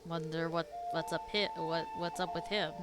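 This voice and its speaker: Laid-back, lower-voiced woman streamer. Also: little pitch movement, moderate pace, music in the background. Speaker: streamer reacting to a game. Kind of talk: casual reaction commentary.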